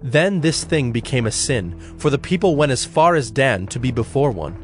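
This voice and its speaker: A man narrating a dramatized Bible in a measured, solemn storytelling style. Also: medium pitch, expressive, faint music behind.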